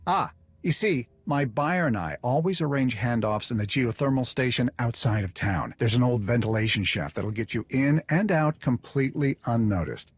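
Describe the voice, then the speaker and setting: Game role: self-serving smuggler, glib and jittery, very animated delivery, slightly husky. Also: male voice, medium pitch, normal speed. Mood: glib, scheming. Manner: glib, wheedling, stammers when cornered. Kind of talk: video game voice acting.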